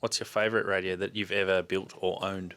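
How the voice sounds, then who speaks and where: A man's voice: sits low, level tone, brisk, fairly bright tone, smooth. A man with an Australian accent hosting a casual interview as a chatty interviewer. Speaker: host, interviewer.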